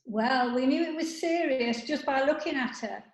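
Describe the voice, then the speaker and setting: A woman's voice: high-pitched, normal speed. A woman playing a chatty, matter-of-fact older friend in an amateur comedy sketch. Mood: gossipy. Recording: echoey room.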